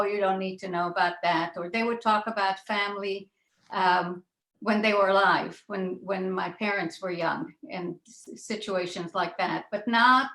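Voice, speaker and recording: An older woman from Israel recalling her own past as a panelist, deliberate and repeating phrases for emphasis. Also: medium pitch, fairly bright tone. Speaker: panelist, child of Holocaust survivors. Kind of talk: personal recollection in a panel discussion. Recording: echoey room sound.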